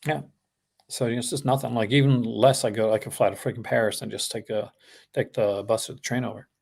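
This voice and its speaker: A man's voice: medium pitch, steady tone, a little fast, vocal fry, darkish tone, breathy edge. A man with a Canadian accent chatting casually on a live stream, rambling with lots of fillers and restarts. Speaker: host. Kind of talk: casual chat. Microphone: streaming call.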